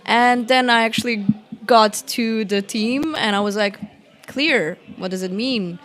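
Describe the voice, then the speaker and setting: A woman's voice: fairly high pitch, measured pace. A young woman from Croatia, speaking relaxedly in a podcast discussion and often saying "basically". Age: young adult. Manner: relaxed, says "basically" a lot. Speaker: student and youth worker.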